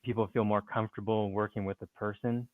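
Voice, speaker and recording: A man speaks in a lowish voice, pausing often and restarting his sentences as he thinks aloud. His voice comes through a tinny, phone-like line with a dry, close sound. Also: flat delivery, dry recording. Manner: hesitant, many fillers and restarts. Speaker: speaking and writing coach, podcast guest. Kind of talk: conversational interview answer.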